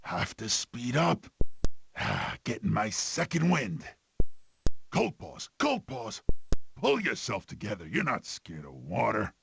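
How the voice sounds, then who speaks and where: Gravelly male tiger, cartoon game clips, determined, muttered self-urging, faint background noise. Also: mid-range voice, wildly swooping pitch, takes it slowly, heavily acted. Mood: determined, uneasy. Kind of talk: short video game voice clips.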